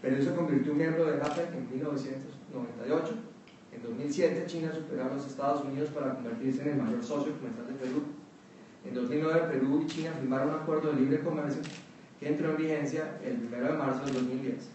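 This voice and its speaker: A Colombian man with a mild, fairly neutral accent presents research at a panel, measured and hesitant, often pausing with fillers. His voice is dark and mellow, picked up from a distance. The big hall echoes heavily around him over a faint hum of room noise. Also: medium pitch, steady tone, muffled recording. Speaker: panelist at an academic round table. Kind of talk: academic conference talk. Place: conference hall.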